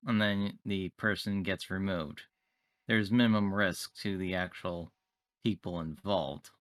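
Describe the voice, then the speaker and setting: A man playing a tabletop roleplaying game, improvising in character with formal, deliberate wording. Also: low voice, fairly even intonation, no room sound, studio quality.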